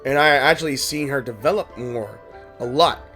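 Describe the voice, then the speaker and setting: A male gaming creator chats casually through a let's play, reading along and tossing in wry jokes. His voice is mid-pitched, with game music lightly underneath. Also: normal speed, pushed and bright. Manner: wry, joking asides.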